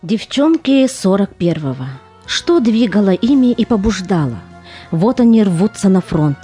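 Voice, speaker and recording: A woman's voice: medium pitch, sing-song, a little slow, dark tone, husky, faint music behind. A woman giving scripted, solemn, declamatory narration for an audio play.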